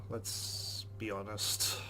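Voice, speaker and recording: A man playing a visual novel, reading its narration and adding wry, amused asides. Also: background noise. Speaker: let's play gamer. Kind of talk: reading game text and reacting.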